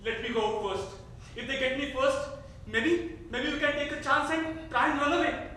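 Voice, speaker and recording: An actor playing a restless, evasive young revolutionary son in a staged reading. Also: male voice, very high-pitched, moderate pace, bright, forceful tone, recorded from a distance. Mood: urgent. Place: theater stage. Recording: echoey theater recorded from afar, faint noise.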